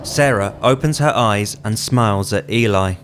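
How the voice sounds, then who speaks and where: A man's voice: on the low side, moderate pace, noisy background. A man reading scripted screenplay narration in the present tense, as writer and narrator of an audio drama.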